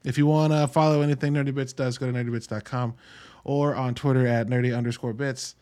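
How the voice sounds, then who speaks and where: A man's voice: medium pitch, steady tone, fast talker, warm tone. A man hosting a casual solo movie review podcast, talking in an informal, slangy, emphatic way with some swearing. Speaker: podcast host.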